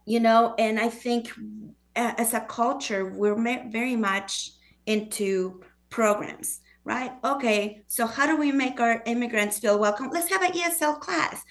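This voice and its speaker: A woman's voice: fairly high pitch, unhurried. A woman with a foreign accent, a guest author speaking earnestly in a conversational interview, often acting out what others say. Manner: earnest, acts out quoted voices.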